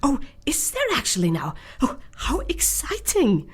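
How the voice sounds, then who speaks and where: A woman voicing a giddy young woman who adores gothic thrills, gushing in shrill exclamations in an amateur radio drama. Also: wildly swooping pitch, a little slow, slightly rough, in a whisper, heavily acted. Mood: excited.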